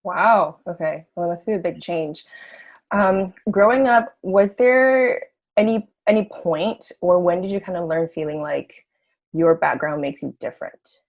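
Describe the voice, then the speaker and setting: A woman's voice: lowish voice, measured pace, creaky. A woman hosting an interview who asks questions and encourages her guest with short replies. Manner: encouraging, many short backchannels. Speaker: host.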